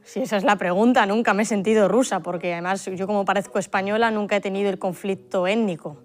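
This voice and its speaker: A woman's voice: mid-range voice, fairly even intonation, quick pace, soft background music. A woman adopted from Russia speaking candidly and reflectively in a documentary interview.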